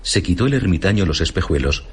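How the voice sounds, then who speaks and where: An actor playing the storyteller of a fantastical tale, narrating dramatically in Castilian Spanish for radio theatre. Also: male voice, animated, fast, husky, heavily acted. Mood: dramatic, ominous. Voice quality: deep.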